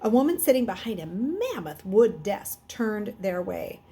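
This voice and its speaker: A woman reading a children's novel aloud in an expressive way, occasionally stumbling and correcting herself. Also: mid-range voice, unhurried, husky. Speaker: reader.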